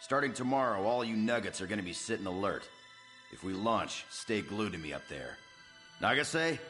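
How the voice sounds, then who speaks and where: An actor playing a gruff, stern veteran flight leader in a video game. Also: male voice, fairly low pitch, lively intonation, moderate pace, bright, forceful tone, faint music behind.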